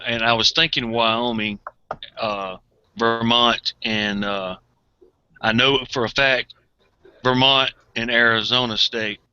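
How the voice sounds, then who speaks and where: A man in a casual online group chat, agreeable, chuckling and thinking out loud. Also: mid-range voice, steady tone, takes it slowly, smooth, dry recording, highs cut off. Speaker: chat participant.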